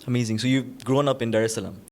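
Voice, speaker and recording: A man's voice: medium pitch, fast talker, a little mellow. A man hosting a podcast, opening the episode and asking his guest curious questions in an upbeat way. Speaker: podcast host. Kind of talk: podcast interview.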